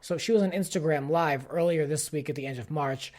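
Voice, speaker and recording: A man's voice: on the high side, fairly quick, darkish tone. A man hosting a podcast, giving a casual, exasperated and opinionated fan commentary peppered with "like". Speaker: podcast host. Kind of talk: casual pop-culture commentary rant.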